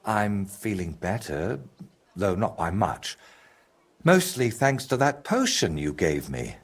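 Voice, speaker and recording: Weary, slow-spoken troubled painter. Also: male voice, low-pitched, big pitch swings, slightly rough, heavily acted. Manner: weary, halting. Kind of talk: video game dialogue acting.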